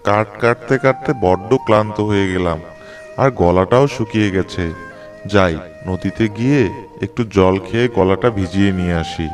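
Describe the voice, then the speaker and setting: A man playing an honest, humble poor woodcutter in an animated moral tale, in an earnest, plaintive way. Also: low-pitched, moderate pace, recorded from a distance, close to an everyday voice. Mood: weary. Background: music.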